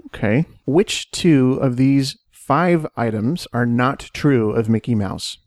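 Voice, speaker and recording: A man reading out trivia questions slowly and deliberately during a podcast game. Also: medium pitch, a little mellow, no room sound, clean studio sound.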